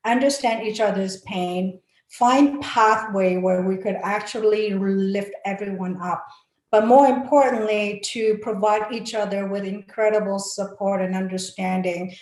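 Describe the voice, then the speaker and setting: A woman's voice: medium pitch, steady tone, measured pace, a little mellow. Woman panelist, motivational and sincere, mid-range voice, roomy echo on the line. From Malaysia. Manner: earnest and encouraging, with frequent fillers and restarts. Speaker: panel speaker. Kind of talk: motivational talk on a webinar panel. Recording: echoey room.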